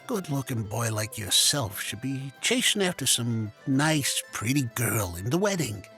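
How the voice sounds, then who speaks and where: An actor playing an aging crime boss in an animated comic, a gravelly, menacing and protective father. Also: medium pitch, measured pace, soft background music, heavily acted. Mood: brooding, fatherly.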